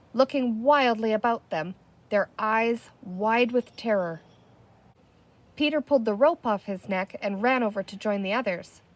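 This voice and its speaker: A woman with a fairly high voice reads a children's story aloud. She narrates expressively, as a storyteller does, out in the open air. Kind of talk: expressive read-aloud narration with character dialogue. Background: birdsong, wind chimes, a barking dog.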